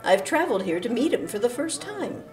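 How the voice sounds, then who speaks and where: A woman's voice: fairly high pitch, very animated, brisk, a little mellow, faint music behind. A woman playing an earnest, anxious fiancée in a period audio drama, polite, hesitant and pleading. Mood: earnest, embarrassed. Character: earnest, anxious American fiancée searching for her betrothed.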